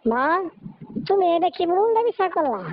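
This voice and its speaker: A woman's voice: very high-pitched, sing-song, normal speed, no echo, full character voice. A woman voicing a hot-tempered, complaining young bird brother in a cartoon, with a rural Bangladeshi Bengali accent, on a dull, noisy recording. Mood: aggrieved. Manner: complaining, argumentative. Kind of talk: cartoon voice acting. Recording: dull lo-fi audio with noise.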